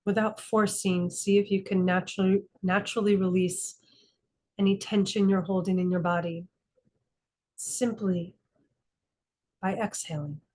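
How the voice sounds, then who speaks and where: A woman leading a guided meditation as a teacher, calm and instructive, speaking in slow, deliberate phrases with frequent pauses. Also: medium pitch. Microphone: video call class.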